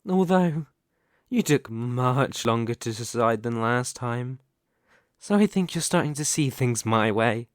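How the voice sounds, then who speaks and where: A man's voice: medium pitch, animated, dry recording, clean studio sound, lightly acted. A man playing a reborn demon king in a scripted ASMR roleplay, smugly menacing, possessive and seductive, with a theatrical, condescending delivery. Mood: feigned disappointment. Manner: theatrical, deliberate, condescending, grandiose.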